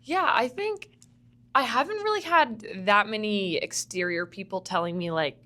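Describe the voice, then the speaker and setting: A woman's voice: medium pitch, lively intonation, moderate pace, sharp tone. A young woman from Vancouver, a musical theatre student, chatting casually as a podcast guest, laughing often and filling her talk with "like". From Vancouver, Canada. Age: young adult. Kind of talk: casual interview chat.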